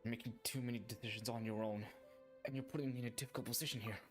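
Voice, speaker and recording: Guy game streamer, average pitch, casual reactions, reads the dialogue out, soft music in back. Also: fairly even intonation, rapid-fire. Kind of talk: casual gameplay reactions and reading dialogue aloud. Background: faint game music.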